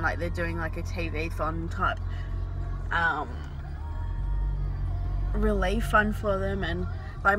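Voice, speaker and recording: Slow rambling Australian woman. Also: fairly low pitch, rough recording. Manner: rambling, heavy fillers, casual swearing. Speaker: vlogger. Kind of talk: casual chat while driving. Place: inside a car. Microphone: dashboard camera.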